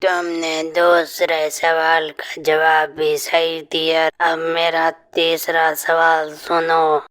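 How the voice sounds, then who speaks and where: Cartoon bandit ghost acted by a man: stern, menacing, very flat monotone, slow, very smooth resonant tone. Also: a little high. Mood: grave, testing. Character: ghost of a male bandit guarding treasure, stern. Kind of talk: Hindi fairy-tale cartoon dub.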